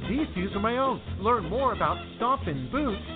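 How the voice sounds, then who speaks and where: Male voice, high pitch, reading a scripted market commentary spot, punchy, tinny with music under it. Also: lively intonation, moderate pace. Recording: phone-like band with music bed.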